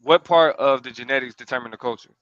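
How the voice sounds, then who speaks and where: Man, bright tense voice, probing, challenging, casual slang. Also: medium pitch, fast talker, no echo, dull audio. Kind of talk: casual debate.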